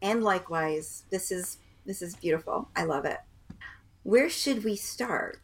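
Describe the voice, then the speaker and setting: A woman's voice: lowish voice, lively intonation, a little slow, breathy edge. A woman hosting a podcast, an encouraging interviewer who asks questions and gives short affirmations. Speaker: podcast host.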